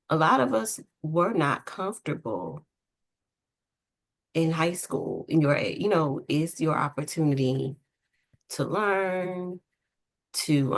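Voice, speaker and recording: A woman's voice: low-pitched, sing-song, very slow, long pauses, fairly bright tone. A woman hosting an event informally, searching for her words as she goes. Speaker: event host.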